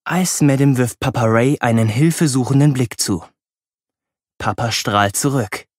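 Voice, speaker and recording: A man's voice: mid-range voice, measured pace, no echo, clean studio sound. A man reading a children's audiobook expressively, telling it wryly from a boy's point of view. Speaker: audiobook narrator. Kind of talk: expressive children's audiobook narration as a boy narrator.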